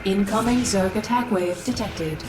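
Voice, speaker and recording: A flat female computer voice, as a woman voices it calls out alerts. It sounds set back and distant, half buried under loud battle noise. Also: medium pitch, moderate pace, lightly acted. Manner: flat announcer delivery. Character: female computer warning system, flat and calm.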